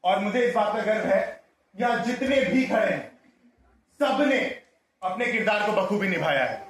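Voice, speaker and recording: A man's voice: high voice, animated, moderate pace, a bit bright. A man presenting on behalf of a drama society, addressing a live audience in a formal, emphatic way. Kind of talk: addressing a live audience after a stage play. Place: stage venue, speaking to an audience. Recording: echoey venue, faint noise.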